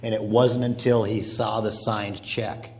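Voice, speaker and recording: A man's voice: lowish voice, level tone, a little slow, darkish tone. A man, asking questions and chatting casually as an interviewer. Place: stage at a live panel table, indoors.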